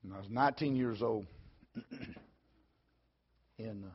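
A man, preaching in a folksy storytelling way, repeating phrases and swinging between loud and soft. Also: lowish voice, a little slow, breathy edge. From Tennessee, USA. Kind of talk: sermon storytelling. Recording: dull lo-fi recording.

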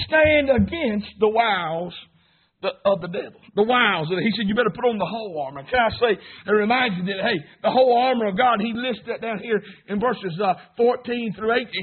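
A man's voice: sits high, brisk, slightly rough. A man preaching an emphatic revival sermon, punctuating lines with "hey" and "amen". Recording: tinny phone-like sound.

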